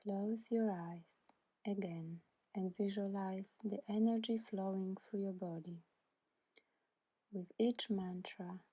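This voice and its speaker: A woman guiding a scripted meditation in a soft, breathy, soothing voice, deliberately leaving long pauses between short phrases. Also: mid-range voice. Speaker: meditation guide.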